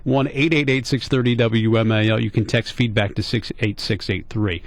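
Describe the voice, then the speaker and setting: A man's voice: lowish voice, steady tone, moderate pace. A middle-aged American man hosting talk radio, telling a casual story in a conversational, questioning way and repeating points for emphasis. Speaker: radio talk show host. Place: radio studio.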